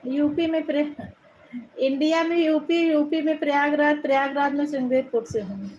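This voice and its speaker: High-voiced woman with an Awadhi-tinged Hindi accent. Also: fairly even intonation, normal speed, a little hiss or hum, poor audio. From Uttar Pradesh, India. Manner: warm thanking, calls viewers brother. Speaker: live stream host. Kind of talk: casual live chat reading viewer comments.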